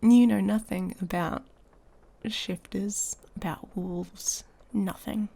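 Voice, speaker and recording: A woman playing an irritated, haughty female werewolf in an intimate ASMR roleplay, breathy and often whispering, taunting and seductive. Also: medium pitch, steady tone, mellow. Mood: strained, hungry.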